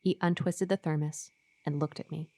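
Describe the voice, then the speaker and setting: An actress playing an uneasy, obedient woman who tells her eerie story in a measured way in a radio horror play. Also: sits low, fairly even intonation, a little mellow, no room sound. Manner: measured, first-person storytelling.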